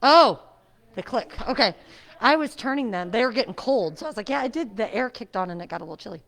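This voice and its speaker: A woman's voice: a little high, lively intonation, fast talker, vocal fry, a bit bright. A middle-aged woman, a fitness instructor, chatting casually before class, her voice muffled by a face mask. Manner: chatty, friendly, laughs often. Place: seniors' center exercise room.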